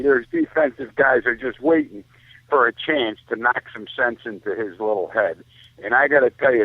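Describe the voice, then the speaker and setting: A man's voice: mid-range voice, dry recording. An American man giving emphatic, opinionated sports commentary in a casual, colloquial way, repeating himself as he makes his points. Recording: muffled lo-fi phone-like radio sound.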